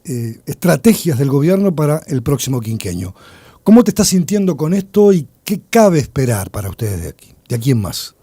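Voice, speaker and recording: A man's voice: on the high side, dark tone, dry recording. A man with a Uruguayan accent, a radio journalist chatting in a playful, conversational way during a studio interview. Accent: Uruguayan Spanish. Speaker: radio journalist, weekly panelist.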